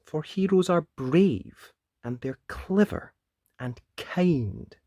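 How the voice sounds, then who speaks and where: A man voicing a bold, clever storybook wolf with booming, emphatic delivery. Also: lively intonation, slow, mellow, dry recording, studio quality, exaggerated voice. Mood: commanding.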